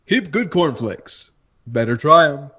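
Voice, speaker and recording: A man's voice: on the high side, sing-song, slow pace, sharp tone, slightly rough. A man reading a 1950s-style radio commercial with folksy, enthusiastic sales talk. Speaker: commercial announcer.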